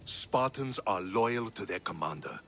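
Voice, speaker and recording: An actor playing a shrewd, loyal Spartan officer in a video game, talking in a measured, explanatory way. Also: male voice, fairly low pitch, expressive, gravelly, fairly bright tone, slight background noise, exaggerated voice. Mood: serious briefing. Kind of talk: dramatic video game cutscene dialogue.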